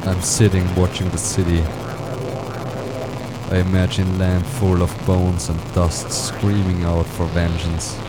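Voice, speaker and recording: A man reading a poetic personal text in a halting way, sometimes stumbling over words. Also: low-pitched, monotone, very noisy. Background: loud experimental music.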